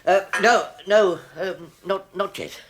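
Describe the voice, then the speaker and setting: An actor playing a meek, downtrodden older husband who stammers and hesitates, in a British radio drama. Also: male voice, high voice, slow pace, a bit bright, slightly rough, exaggerated voice. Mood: hesitant.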